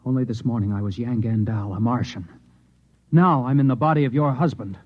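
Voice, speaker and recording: Actor as husky, insistent Martian in human body. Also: male voice, mid-range voice, a little fast, close to an everyday voice. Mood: earnest, insistent. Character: earnest Martian mind inhabiting a husband's body. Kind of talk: old-time radio drama acting. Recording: muffled, narrow-band old radio recording.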